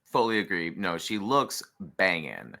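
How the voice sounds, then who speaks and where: A man's voice: low-pitched, big pitch swings, measured pace, vocal fry, pushed and bright. A man co-hosting a podcast, offering casual, opinionated commentary in a conversational way. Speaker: podcast co-host reviewing drag runway looks.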